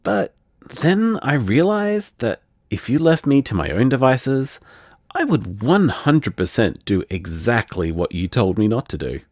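A man's voice: mid-range voice, big pitch swings, unhurried, darkish tone, dry recording, studio quality. An actor playing a rambling, self-deprecating young man. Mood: fond. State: tipsy. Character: shy affectionate young man leaving voicemails. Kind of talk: audio drama voicemail monologue.

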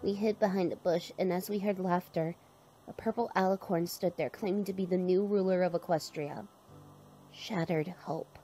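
Casting: woman playing earnest protective mare for a cartoon, soft dark tone, mid pitch, slight noisy ambience. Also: fairly even intonation, normal speed, lightly acted. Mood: anxious recounting. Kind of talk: animated fan drama acting.

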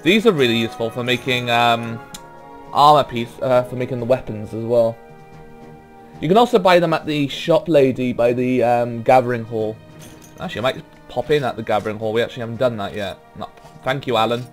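A man's voice: mid-range voice, brisk, soft background music. Laid-back young Welsh man gaming. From Wales. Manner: rambling, laid-back, trails off with ums. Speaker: gaming YouTuber. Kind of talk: casual let's play commentary.

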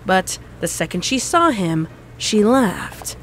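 A woman's voice: medium pitch, big pitch swings, takes it slowly, very noisy. A woman reading scripted story narration.

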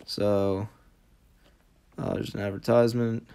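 A man's voice: on the low side, little pitch movement, takes it slowly, creaky, warm tone, slight background noise. A man giving a casual how-to voice-over as the host of an app tutorial.

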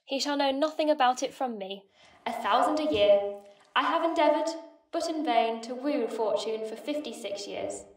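A woman's voice: high voice, normal speed, poor audio. A woman playing a genteel, scheming Victorian aunt in her fifties, mannered and effusive, in a farcical radio play. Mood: gloating.

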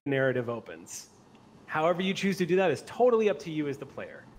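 A middle-aged man running a tabletop roleplay game as game master, narrating into a close mic with some halting self-corrections. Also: fairly high pitch, sing-song, low-quality recording. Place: indoor room, on a group video call. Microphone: microphone on an arm, close to mouth.

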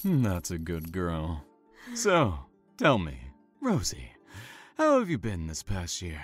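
A man playing an imprisoned killer in a horror audio drama, low-voiced and slow. He taunts and teases with smug, obsessive menace, drawing out his words over a musical backdrop. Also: wildly swooping pitch, slightly rough, faint music behind, full character voice. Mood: smug, controlling. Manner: smug, teasing, drawn-out menacing delivery.

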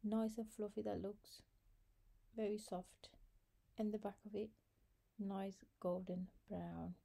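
A woman's voice: mid-range voice, little pitch movement, takes it slowly, vocal fry, dark tone. A woman hosting a home cooking video, chatting through each step in a casual voice-over. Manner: chatty, talks viewers through steps with fillers. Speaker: cooking channel host.

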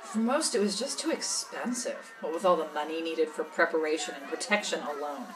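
A jaded woman smuggler acted by a woman tells her story in an audio drama interview. Her voice is low and worldly, matter-of-fact and cynical. She sounds a little far from the mic, with music clearly behind her. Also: brisk, a crowd in the background, natural voice. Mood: wry, matter-of-fact. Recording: slightly distant, music behind.

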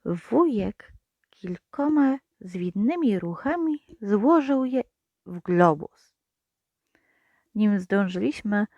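Slow, soft-toned woman reading a children's story. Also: dry recording, dull audio. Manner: slow, expressive reading with lively pitch turns. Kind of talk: children's audio story narration.

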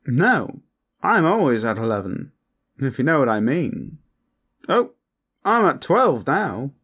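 A man's voice: medium pitch, big pitch swings, slow, a bit bright, slightly rough, pitched above the performer's own voice, exaggerated voice. A man voicing a cocky, lewd male vampire in an audio roleplay, with pompous, bawdy boasting. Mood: boastful.

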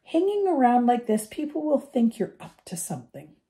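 Woman playing a smooth, sneering male teacher. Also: fairly high pitch, very animated, normal speed, dark tone, pitched above the performer's own voice.

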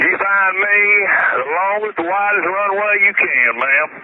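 A man's voice: sits high, measured pace, phone-quality sound. Plain-spoken man on noisy cockpit radio. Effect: aviation radio. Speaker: passenger flying a plane. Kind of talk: radio exchange with air traffic control.

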